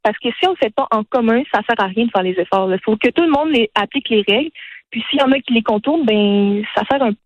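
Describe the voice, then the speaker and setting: A teenage girl with a Quebec French accent speaks as a radio interview guest, earnest and quick. Her voice sits a little high for a woman. The sound is close and dry, with a dull, muffled radio tone. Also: no room sound. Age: teens. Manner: earnest, fast-talking, frequent "là" tags.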